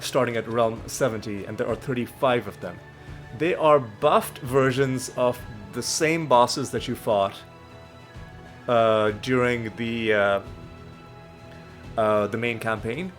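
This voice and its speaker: A man hosting a let's play, explaining the game in a rambling, self-correcting way, with a slightly nasal voice as he gets over a cold. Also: mid-range voice. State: recovering from a cold. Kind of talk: casual gameplay commentary. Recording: faint background music.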